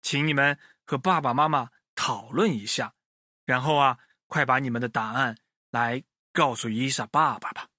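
A man telling a children's story in an expressive way, speaking directly to his young listeners. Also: medium pitch, normal speed, dry recording, clean studio sound.

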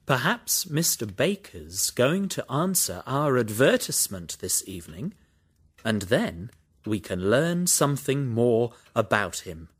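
An actor playing a brisk, eager detective in an audiobook, polite and chatty. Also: male voice, mid-range voice, breathy edge. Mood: courteous. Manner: lively, sing-song, polite and apologetic. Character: brisk, eager middle-aged male detective. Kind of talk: audiobook character reading.

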